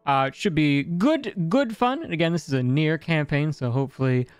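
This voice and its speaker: Man, game master, animated, chatty, laughs a lot, dry sound. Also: fairly high pitch, a little fast, smooth, no echo. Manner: animated, chatty, laughs often, repeats words. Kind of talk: casual chat wrapping up a tabletop stream.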